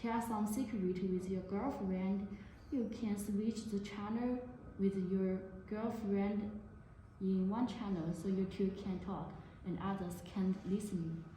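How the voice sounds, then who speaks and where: Slow-paced woman in a very echoey room. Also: medium pitch, steady tone, warm tone. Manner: halting, non-native English phrasing. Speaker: product demonstrator. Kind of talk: product demonstration to camera. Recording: very echoey room, faint noise.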